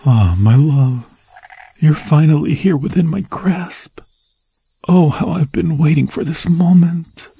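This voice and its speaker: A man voicing an obsessive, lovesick forest demon in an ASMR fantasy roleplay, breathy and slipping into whispers, with a theatrical, sweetly taunting manner. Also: a little high, takes it slowly, dark tone, pitched above the performer's own voice, full character voice. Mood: adoring, elated.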